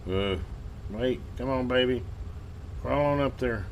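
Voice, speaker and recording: A man giving casual hands-on commentary as a hobbyist host, halting and muttering to himself as he works. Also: mid-range voice, background noise. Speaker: vintage radio hobbyist hosting a repair video.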